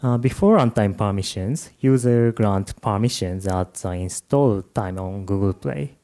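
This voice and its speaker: Japanese-accented male conference speaker, low mellow tone, jet-lagged, hesitant delivery. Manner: halting, with many uh and um fillers. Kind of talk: tech conference talk. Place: conference room.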